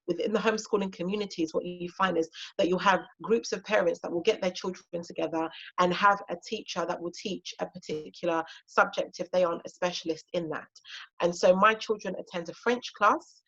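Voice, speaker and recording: Woman in her 30s from Ghana, Twi-native, chatty and encouraging guest speaker with a low-set voice on muffled online audio. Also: a bit bright, husky. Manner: chatty and encouraging, frequent fillers. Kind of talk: casual talk on an online session.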